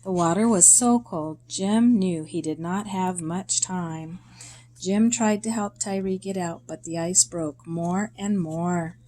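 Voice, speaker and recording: A woman reading a children's story aloud in a deliberate, expressive way, in short phrases with pauses. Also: lowish voice.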